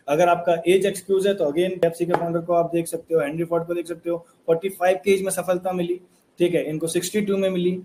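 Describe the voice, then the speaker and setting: A young man teaching a motivational course lesson, earnest and repetitive, asking his viewers rhetorical questions. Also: high-pitched. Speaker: online course instructor.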